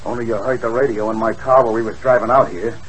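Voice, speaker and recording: A man's voice: mid-range voice, fairly even intonation, quick pace, lightly acted, low-quality recording. A man playing a boastful, streetwise gangster henchman in a 1940s radio play, talking in slangy tough-guy fashion over a hissy old broadcast. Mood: defiant.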